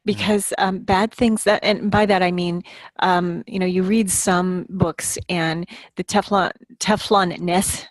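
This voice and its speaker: A woman chatting casually as a podcast panelist, in a halting, conversational manner. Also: lowish voice, steady tone, no echo. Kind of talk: casual chat.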